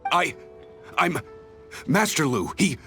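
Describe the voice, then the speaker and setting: A man's voice: fairly high pitch, big pitch swings, slow pace, pushed and bright, soft background music, full character voice. A man playing a pompous, shifty merchant in a video game, blustering and indignant. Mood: flustered. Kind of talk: video game character acting.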